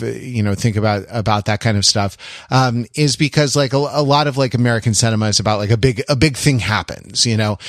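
A man co-hosting a podcast, talking casually and analytically, often halting and restarting his phrases. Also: mid-range voice, no echo, studio quality. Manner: halting, self-correcting, repeats phrases. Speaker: podcast co-host. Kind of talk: casual analytical pop-culture discussion.

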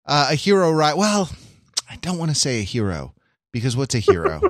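A man with a slightly high, very animated voice hosts a casual podcast. He is wry and playfully grandiose, and the sound is dry and close. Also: fairly quick, no room sound. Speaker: podcast host. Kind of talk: casual, jokey podcast chat.

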